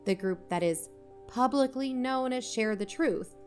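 A woman playing an earnest investigative podcast host, narrating in a composed, measured way. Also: a little high, soft background music, lightly acted. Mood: formal, earnest. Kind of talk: scripted narration in audio drama.

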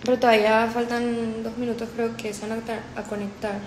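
Paisa woman, 20s, livestream host, slightly high voice, repeats herself, roomy phone sound. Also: little pitch movement, moderate pace, slight background noise. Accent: Colombian paisa. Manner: chatty, repetitive, mixes in English. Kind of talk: casual livestream chat, reading comments aloud. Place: room indoors. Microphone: phone held close.

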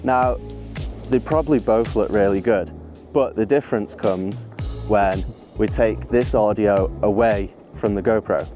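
A man's voice: on the low side, a little slow, mellow, faint music behind. A young man vlogging outdoors on a rocky beach with a clip-on mic, eager and rambling, laughing at himself. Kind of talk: excited casual vlog. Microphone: small clip-on mic.

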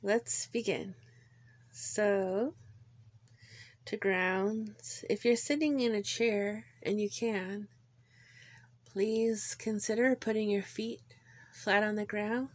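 A woman leading a guided visualization in a gentle, informal way, pausing between short phrases. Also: medium pitch, steady tone, dry recording. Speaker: guided meditation leader. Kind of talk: guided meditation, visualization narration.

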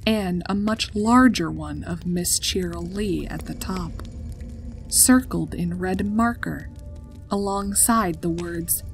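A woman's voice: on the low side, poor audio. A woman reading a scripted story as its narrator. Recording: music behind the voice. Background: background music.

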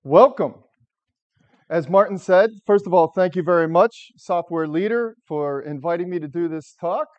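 A loud, engaging middle-aged man from the United States speaks to a seated audience in a lecture room, his fairly high voice carrying without a microphone. The sound is dull and lo-fi, caught by a camera at the back of the room. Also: moderate pace. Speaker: software architect giving a talk. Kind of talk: conference talk to a live audience. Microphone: far camera, no microphone.

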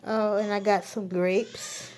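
A woman talks through a casual grocery haul while holding her phone camera at home. She speaks slowly and loosely, full of ums and restarts, with a mellow, dark tone and a middle pitch. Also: flat delivery, low-quality recording. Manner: rambling, full of ums, warm shout-outs. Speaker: vlogger. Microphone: phone camera held while filming.

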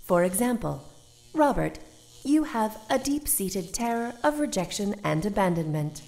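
A woman's voice: mid-range voice, sing-song, a little slow, gravelly, a little mellow. A woman voicing a coolly analytical talking machine in an audio drama, clinical and matter-of-fact. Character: coolly analytical female talking machine reading people's psyches.

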